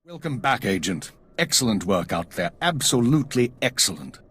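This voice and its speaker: A male actor playing a commanding, weary middle-aged intelligence chief in a video game, husky and brisk. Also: medium pitch, big pitch swings, a bit bright, slight background noise. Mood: calm, measured. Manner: brisk, authoritative.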